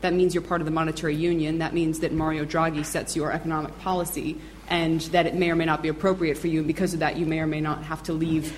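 A woman's voice: sits low, level tone, fast, few pauses, slight background noise. A woman speaking on a conference panel, thinking aloud with hedges and fillers. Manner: thoughtful, hedging with fillers. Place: conference hall with audience.

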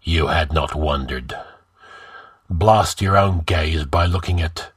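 A man performs a wrathful barbarian warrior king from a fantasy tale. The voice sits low and growls. He speaks bluntly and imperiously, with gruff grunting force. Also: a little slow, a bit bright, dry recording, studio quality, heavily acted. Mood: grim.

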